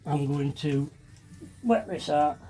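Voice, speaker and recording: A man's voice: fairly high pitch, slow, noisy background. A man giving a casual hands-on DIY tutorial, chatty and self-deprecating as he thinks aloud while working, slightly sniffly with a cold. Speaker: DIY video maker demonstrating fibreglassing.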